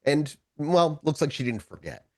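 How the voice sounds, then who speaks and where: A man acting as game master, narrating an improvised tabletop story in a casual, descriptive way with animated asides. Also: a little high, normal speed, no echo, studio quality.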